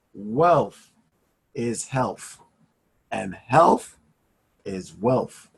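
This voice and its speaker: Gravelly man hosting a video call, slow emphatic motivational delivery. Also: mid-range voice, fairly bright tone. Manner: emphatic, repeats phrases for effect. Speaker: host and finance mentor. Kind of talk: motivational speaking on a live call.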